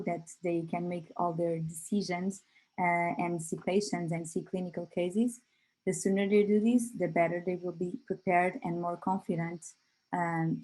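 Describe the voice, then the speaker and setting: A woman giving an online webinar presentation over a video call, pausing often on filler sounds as she talks. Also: lowish voice, warm tone, poor audio. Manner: hesitant, frequent filler pauses. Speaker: company presenter.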